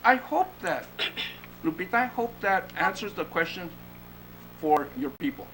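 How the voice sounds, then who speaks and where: A man with a high voice acting as city manager, walking a resident through the details in a measured, explanatory tone. The council chamber recording carries a lot of background noise. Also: expressive, bright, forceful tone. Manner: measured and explanatory, with fillers and restarts. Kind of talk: answering questions at a council meeting. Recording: noisy meeting-room recording.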